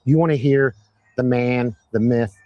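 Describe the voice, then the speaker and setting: A hoarse man hosting a live-stream interview outdoors, upbeat and fond of repeating phrases for emphasis. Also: medium pitch, unhurried, no room sound, muffled recording. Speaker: host. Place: outdoors at a Christmas light display by a road.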